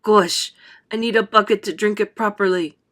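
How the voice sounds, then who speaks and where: A woman's voice: medium pitch, fairly even intonation, normal speed, fairly bright tone, close to an everyday voice. A woman voicing a greedy, heedless young boy in a storybook reading. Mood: gluttonous delight.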